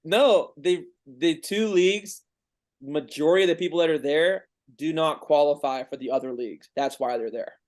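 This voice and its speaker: A man in his twenties, a baseball player guesting on a podcast, explaining in a relaxed, rambling way with frequent restarts. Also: fairly high pitch, moderate pace. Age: 20s. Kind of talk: casual chat.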